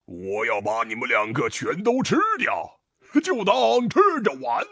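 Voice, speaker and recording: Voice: mid-range voice, wildly swooping pitch, a little slow, vocal fry, fairly bright tone, breathy edge, no echo, clean studio sound, exaggerated voice. A storyteller voicing a gigantic, cruel monster, growling and gleefully hungry. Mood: gleefully menacing.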